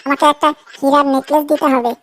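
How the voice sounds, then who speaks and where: Lady doing a really high bengali cartoon girlfriend, whiny n coy, flat tone, bit of noise behind. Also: fast talker, natural voice. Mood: coy, demanding. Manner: coy, pleading, repeats herself. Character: whiny, demanding young girlfriend, coy and easily offended. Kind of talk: comedy cartoon dialogue.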